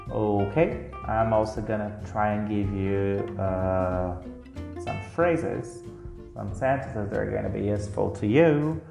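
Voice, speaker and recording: A slow-spoken man with a low, gravelly voice teaching English in a casual, reassuring way. He fills his speech with easy asides and checks in with the listener. He is in an echoey room with soft guitar music behind him. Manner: casual and reassuring, with frequent "like" and "you know". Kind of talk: recorded lesson for language learners. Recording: roomy echo with faint guitar music.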